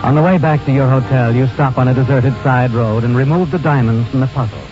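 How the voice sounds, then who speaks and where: A knowing, ominous radio suspense narrator played by a man, medium in pitch. Music plays clearly behind him on a dull vintage recording. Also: brisk, close to an everyday voice. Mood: sly, measured. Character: knowing, ominous storyteller addressing the wrongdoer. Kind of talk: 1940s radio suspense narration in second person. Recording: muffled old radio recording. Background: clear background music.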